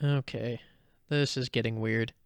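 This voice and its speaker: A man's voice: medium pitch, fairly even intonation, unhurried, clean tone, no echo, lightly acted. A man voicing an anxious but determined, sarcastic teenage girl adventurer who talks to herself in an audio fan fiction. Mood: wry, muttering. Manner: wry, talking to self.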